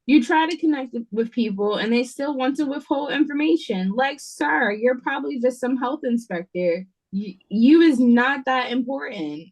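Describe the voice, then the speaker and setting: A woman's voice: high-pitched, moderate pace, few pauses, a little mellow, poor audio. A woman playing a guarded, sarcastic waitress in an audio drama read-through, curt and exasperated. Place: remote reading over a video call. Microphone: video call.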